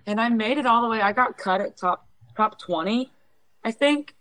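A young woman from Houston. She is a singer being interviewed, and she tells a story in a lively way, acting out what other people said. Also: a little high, moderate pace, rough recording. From Houston, Texas. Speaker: guest singer.

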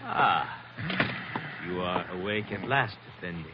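A man's voice: sits low, animated, unhurried. An actor playing a deferential foreign man with formal, servile politeness in a 1940s radio adventure. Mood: solicitous. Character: courteous, deferential foreign man, hostess's brother. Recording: dull, noisy old radio recording.

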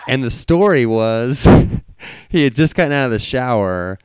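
A man's voice: mid-range voice, expressive, measured pace, clear, resonant tone, no room sound. A man hosting a podcast, chatting casually with a guest in a jokey, crudely humorous way. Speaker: podcast host. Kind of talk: casual interview chat.

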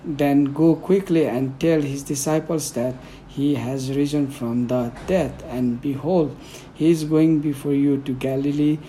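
Nepali-accented man, mellow soft-spoken guest reader, hesitant fillers, faint hiss behind. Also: on the high side, little pitch movement, a little slow, rough recording. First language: Newari. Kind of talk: conversational interview, then Bible reading.

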